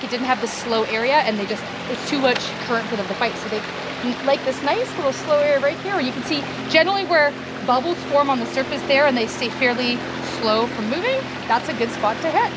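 Higher-voiced Canadian woman talking by a stream. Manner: conversational, explanatory. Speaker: fishing presenter. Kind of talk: casual unscripted outdoor chat with tips. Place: outdoors on a wooded creek bank. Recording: outdoor recording with clear rushing stream noise.

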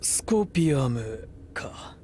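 Senior officer, measured, authoritative, middle-aged, mid pitch. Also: male voice, sing-song, dark tone, slight background noise.

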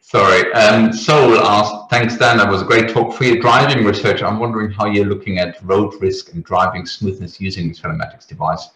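A man's voice: fairly low pitch, normal speed, hardly any pauses, fairly bright tone. A man in his forties hosting a video-call Q&A from an office, apologetic and reading out audience questions. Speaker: forum host. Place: office with whiteboard. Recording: echoey video-call audio.

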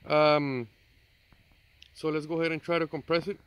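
A fairly high-voiced man talks casually, explaining each step as he works. He is filming on a phone outdoors in a work yard, with clear outdoor noise behind him. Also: level tone, moderate pace. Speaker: mechanic. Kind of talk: casual how-to narration. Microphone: phone camera.

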